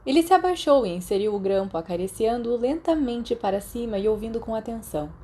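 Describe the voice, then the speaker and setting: A woman's voice: fairly high pitch, expressive, brisk, warm tone, slight background noise. A woman with a Brazilian accent reads a story aloud as scripted audiobook narration. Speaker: podfic narrator.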